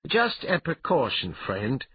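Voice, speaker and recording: A man's voice: mid-range voice, huge pitch swings, takes it slowly, husky, no echo, lo-fi sound, voice pushed up, exaggerated voice. A man voicing a wily adventurer thief in a fantasy storybook, urbane, mock-polite and taunting. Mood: urbane mockery.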